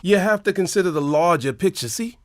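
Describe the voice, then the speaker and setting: An actor playing a zealous, meddling husband who lectures people insistently in an audio drama. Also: male voice, sits high, lively intonation, brisk. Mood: defensive, insistent. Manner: preachy, insistent, emphatic.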